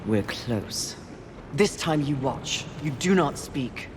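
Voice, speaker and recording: Fierce warrior in cavernous reverb. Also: female voice, extremely low pitch, expressive, slow, background noise, heavily acted. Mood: commanding. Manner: curt, scornful, commanding. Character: proud, fierce, impatient female horseman of the apocalypse. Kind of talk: video game cutscene acting. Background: music.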